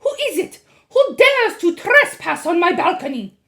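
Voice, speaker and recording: A woman voicing a cruel, imperious female witch leader who snaps her commands loudly. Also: very high-pitched, big pitch swings, normal speed, voice pushed up, exaggerated voice. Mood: suspicious. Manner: snapping, commanding, loud.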